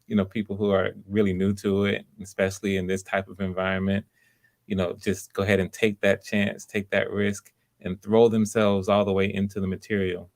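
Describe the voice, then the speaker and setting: A man's voice: on the low side, little pitch movement, fairly quick, vocal fry. A man hosting live auditions, talking casually in an encouraging, enthusiastic manner as he gives feedback.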